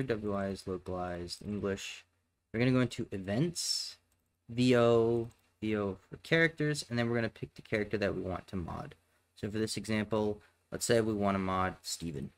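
A man narrating a step-by-step software tutorial in a matter-of-fact, instructional way. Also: fairly low pitch. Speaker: tutorial presenter.

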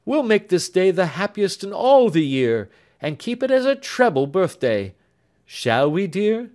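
A man's voice: high-pitched, sing-song, a little slow, a little mellow, pitched above the performer's own voice. An older-sounding male reader voicing an earnest, affectionate young man, speaking eagerly and with feeling. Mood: fervent.

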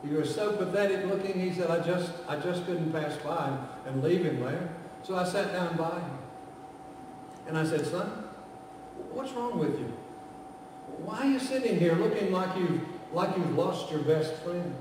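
An older man with a Southern American accent preaching a storytelling sermon from a church pulpit, moving between loud passages and hushed ones. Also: high voice, lively intonation, normal speed, background noise. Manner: dramatic, voices quoted characters, swings from loud to hushed. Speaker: guest preacher. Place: church sanctuary, medium-sized, indoors. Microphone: far camera, pulpit mic. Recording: echoey church from far off.

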